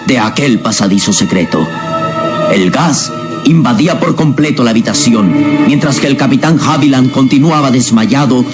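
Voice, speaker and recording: A man narrating a scripted radio drama serial in a suspenseful, theatrical manner with deliberate phrasing. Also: medium pitch. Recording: dramatic music over the voice. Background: clear background music.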